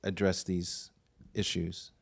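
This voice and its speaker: A man's voice: deep voice, flat delivery, takes it slowly, creaky. A man, a fellow poet, interviewing on stage at a live bookstore event and offering encouraging short affirmations.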